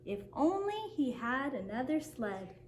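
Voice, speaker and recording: A woman reading a children's picture book aloud as a story-time host, in an expressive, deliberate storytelling manner for young children. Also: a little high. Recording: roomy echo, faint background noise.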